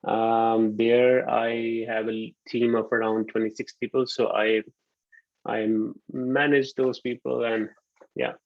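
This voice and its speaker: A man from Pakistan in his thirties giving a relaxed online university lecture, often pausing with ums. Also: medium pitch, no room sound. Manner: relaxed, hesitant with frequent ums. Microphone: computer mic on a video call. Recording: dull lo-fi video call audio.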